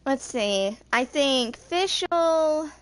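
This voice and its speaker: A woman in her twenties reading game lore aloud in a halting, amateur way, stumbling on long words, as a casual fan vlogger. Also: high-pitched, animated, fairly bright tone, dry recording. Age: 20s.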